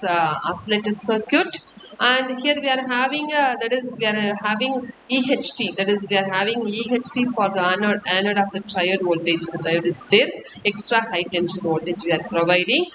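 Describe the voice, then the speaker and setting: A woman lecturer with an Indian accent speaks in a fairly high voice. She explains technical material haltingly, often repeating herself. The room is strongly echoey, with clear rumbling noise behind her. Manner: halting, repetitive phrasing.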